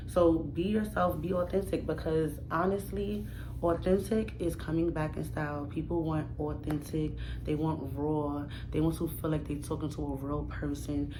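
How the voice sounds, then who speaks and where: Low-voiced New York woman vlogging. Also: steady tone, normal speed. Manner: emphatic, repetitive, slangy and direct. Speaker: new YouTuber. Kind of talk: casual to-camera vlog advice. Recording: audible background noise.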